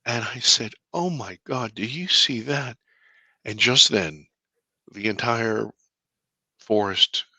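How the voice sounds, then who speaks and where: A deep-voiced man, a filmmaker guest in an interview, telling a personal story earnestly, with halting restarts and bursts of excitement. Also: dry recording. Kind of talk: conversational storytelling.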